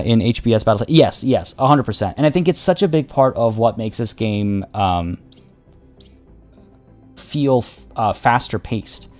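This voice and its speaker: A fairly low-voiced young man chats casually over his game, his voice slightly worn. He rambles, restarts sentences and fills pauses with "uh" and "like". Faint game music plays softly behind him. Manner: rambling, many restarts and fillers. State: voice getting worn. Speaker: streamer. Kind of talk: casual gameplay commentary.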